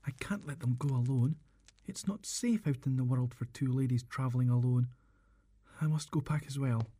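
A man as a very dark-voiced worried protector. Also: mid-range voice, moderate pace, a little hiss or hum, close to an everyday voice. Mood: worried, resolute. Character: protective, worried man resolving to follow two ladies. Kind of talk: audio drama acting.

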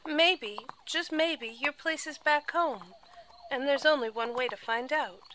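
A woman's voice: high-pitched, a little slow, background noise. A woman playing a chatty, tender young noble lady in an audio drama. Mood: earnest.

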